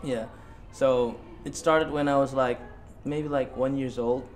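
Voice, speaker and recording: A man's voice: mid-range voice, fairly even intonation, measured pace, faint music behind. A young man in his late teens from Bali giving casual interview answers as a student guest, hesitant and repeating himself. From Bali, Indonesia.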